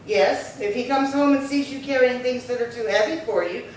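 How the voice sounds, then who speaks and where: A woman's voice: fairly high pitch, moderate pace, lightly acted. A woman playing a wry, long-suffering housemaid in a 1950s radio comedy, with dry wisecracking delivery. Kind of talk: 1950s radio sitcom acting. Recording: echoey hall, distant mic, faint noise.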